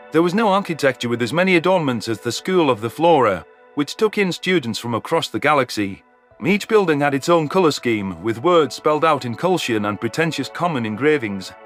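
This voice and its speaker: A man's voice: mid-range voice, fairly bright tone. A man reading scripted first-person science fiction narration as an audio story narrator. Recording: music under the voice.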